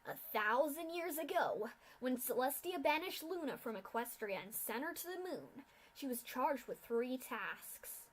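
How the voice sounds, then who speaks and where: A woman voicing a cold, masked female pegasus official who lectures loudly in a storybook reading. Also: high-pitched, animated, unhurried, hardly any pauses, poor audio. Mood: lecturing. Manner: lecturing, loud.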